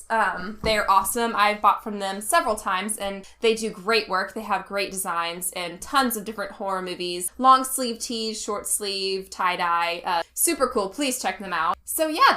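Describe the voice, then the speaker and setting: Congested chatty woman, bright voice. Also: medium pitch, a little slow, hardly any pauses. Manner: chatty, rambling with fillers. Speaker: host. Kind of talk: casual video commentary.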